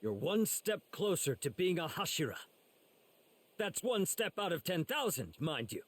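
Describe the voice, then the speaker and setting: A man's voice: fairly high pitch, big pitch swings, a little slow. A man voicing a confident, encouraging young warrior mentor in an anime game, emphatic and commanding.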